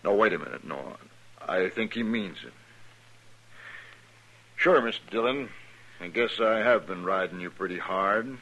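An actor playing a swaggering but cowardly gunman in a 1950s radio western, gravelly and drawling, with an American accent. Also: lowish voice, lively intonation, unhurried, long pauses, a bit bright, lo-fi sound, heavily acted. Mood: backing down.

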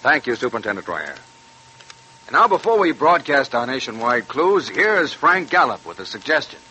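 A man's voice: mid-range voice, very animated, moderate pace, bright, forceful tone. A man hosting a 1940s radio crime show in a formal, scripted style. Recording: noisy, clicking 1940s radio recording.

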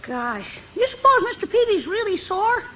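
A man's voice: very high-pitched, sing-song, sharp tone, slight background noise, heavily acted. An actor playing a cheeky young boy nephew in a 1950s radio sitcom, dull old broadcast sound. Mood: earnest. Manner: boyish, earnest, quick.

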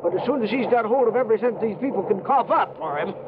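An actor playing an exasperated, fretful small-town newspaper editor in a 1950s radio drama, stammering and indignant. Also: male voice, sits high, expressive, fast talker. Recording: noisy, muffled vintage radio recording.

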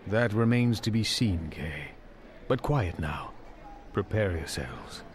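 An actor playing a wise, grave old Jedi master in an audio drama, speaking in a measured, mentoring way. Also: male voice, lowish voice, lively intonation, a little hiss or hum. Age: older.

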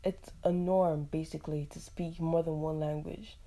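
A young woman from Nigeria, hosting a casual solo podcast, chatty and animated, with frequent laughs and fillers. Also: sits low, moderate pace. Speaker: podcast host.

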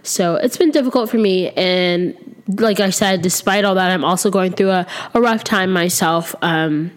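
A woman's voice: fairly low pitch, moderate pace, smooth. Chatty young woman, a bit nasal. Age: 20s. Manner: rambling, many ums and restarts, candid. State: slightly sick, a little nasal. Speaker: podcast host. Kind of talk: casual solo podcast chat. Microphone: close to the microphone.

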